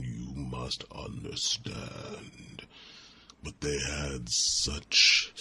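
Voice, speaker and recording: A man voicing a huge ancient dragon in an ASMR fantasy roleplay, a calm, gentle mentor with a gravelly rumble and a deliberate, ruminative manner full of thoughtful hums. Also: exaggerated voice.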